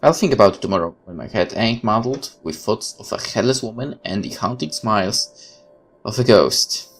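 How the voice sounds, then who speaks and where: A man's voice: fairly low pitch, normal speed, faint music behind. A man reading a horror visual novel aloud as a let's player, with casual commentary of his own.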